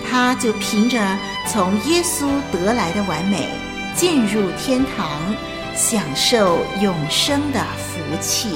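A woman playing a solemn angel who judges souls in a radio drama, measured, authoritative and didactic, with music behind her. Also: mid-range voice, lively intonation, long reverb tail. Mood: solemn, instructive. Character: solemn female angel judging souls at heaven's gate. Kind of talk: radio drama acting, preaching delivery. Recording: music bed under the voice.

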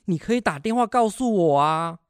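A man's voice: high voice, expressive, normal speed, smooth, no room sound, clean studio sound. A man acting a worried, exasperated middle-aged father in a radio drama, with a Taiwanese accent and a fretful, scolding manner.

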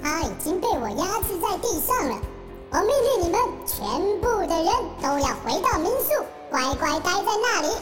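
A woman's voice: very high voice, very animated, normal speed, roomy sound, music in the background. A woman playing a menacing, bossy hidden villain in a children's radio drama. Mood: threatening.

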